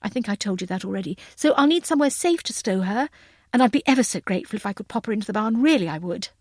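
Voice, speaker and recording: A woman voicing a bright, effusive, well-bred young lady aviator who is polite and apologetic, with old-fashioned phrasing. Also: mid-range voice, animated, fast talker, no room sound, studio quality, close to an everyday voice. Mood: eager, pleading.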